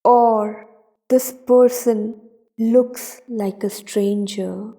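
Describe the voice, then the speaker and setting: A woman narrating a guided meditation in a soothing, deliberate manner, leaving long pauses between short phrases. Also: a little high, fairly even intonation, dark tone.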